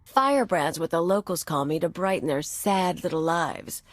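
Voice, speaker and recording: A woman's voice: on the low side, lively intonation, moderate pace, no echo. An actress playing a tough, bitter woman anarchist in a video game, with a blunt, mocking manner. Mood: bitter sarcasm. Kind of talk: video game cutscene acting.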